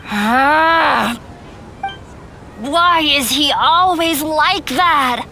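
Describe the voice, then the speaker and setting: Estranged young rebel: high, forceful, deliberate and accusing game drama delivery. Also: female voice, slight background noise, heavily acted. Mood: exasperated. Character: defiant, bitter young woman, estranged daughter. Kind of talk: English anime-style video game voice acting.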